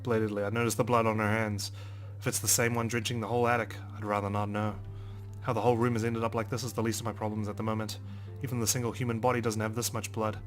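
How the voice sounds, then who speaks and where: A man with a low voice reads a visual novel aloud for a let's play. His delivery is theatrical and expressive, like a narrator living the story, with faint music behind him. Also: fast talker. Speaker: let's player. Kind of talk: dramatic read-aloud of a visual novel with asides.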